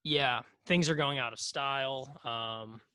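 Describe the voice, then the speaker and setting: A man's voice: mid-range voice, takes it slowly, bright, forceful tone, smooth, no echo. A man co-hosting a podcast in casual comedic chat, rambling and hesitant, with dry jokes. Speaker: podcast co-host.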